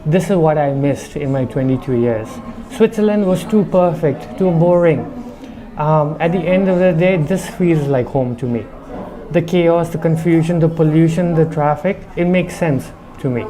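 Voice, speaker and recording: A man's voice: on the high side, warm tone. A man from India with a slight accent picked up abroad, answering interview questions about running his restaurant in a reflective, chatty way. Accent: slight foreign-tinged Indian English. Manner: reflective, talks in short run-on phrases with "right?". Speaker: restaurant owner.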